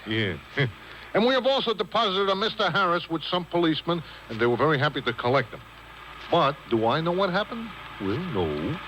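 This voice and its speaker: Chatty working-class cab driver, very animated intonation, comic and slangy, faintly hissy 1950s radio recording. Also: male voice, fairly high pitch, a little fast, low-quality recording. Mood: wry, bemused. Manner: folksy, slangy, eager questioning. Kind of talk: 1950s radio comedy-drama acting. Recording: hissy old radio broadcast on cassette.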